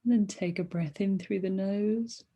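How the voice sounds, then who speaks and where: A woman leading a guided meditation as a teacher, speaking softly and soothingly in short phrases with long pauses between them. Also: mid-range voice, steady tone, husky. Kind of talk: guided meditation, body scan.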